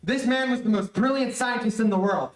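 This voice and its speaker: A man's voice: very high voice, brisk. A man playing an earnest, plucky young adventure hero in an old radio serial. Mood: earnest, eager. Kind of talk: 1930s radio serial acting. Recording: echoey room.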